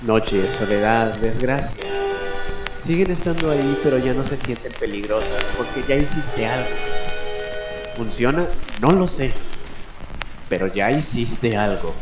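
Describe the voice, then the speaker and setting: A man hosting a late-night mystery radio show, speaking in a theatrical, deliberate way with dramatic pauses. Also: medium pitch, expressive. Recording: roomy echo, music behind. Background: music.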